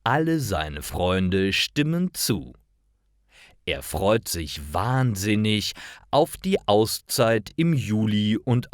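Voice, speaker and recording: Slow, lively low-pitched male storyteller. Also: no echo, studio quality. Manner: slow, careful, expressive enunciation. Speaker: narrator of stories for German learners. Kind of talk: scripted storytelling for language learners.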